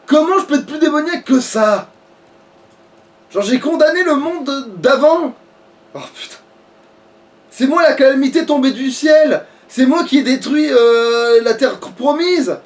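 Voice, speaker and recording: High-pitched man chatting crudely, off the mic. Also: expressive, a little slow. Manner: crude, sweary, mock-outraged ranting. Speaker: let's player. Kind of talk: casual gaming chat. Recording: voice distant from the mic.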